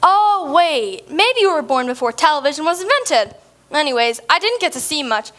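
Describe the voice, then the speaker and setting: A woman playing a chatty, dreamy young schoolgirl in a stage musical, moving between sung narration and quick dialogue. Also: sits high, very animated, a bit bright. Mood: chatty, explaining. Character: girl from New Jersey, dreamy and procrastinating. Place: theater stage.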